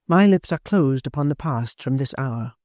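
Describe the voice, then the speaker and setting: Man doing a cold proud young woman, low steady voice, mellow tone, dry close sound. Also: normal speed, no room sound, natural voice. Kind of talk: audiobook character voice.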